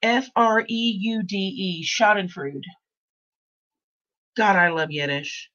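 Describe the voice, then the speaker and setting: A woman's voice: mid-range voice, animated, slow pace, fairly bright tone, dull audio. Woman in her 60s, rambling host. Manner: rambling, repeats herself, restarts often. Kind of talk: casual podcast chat.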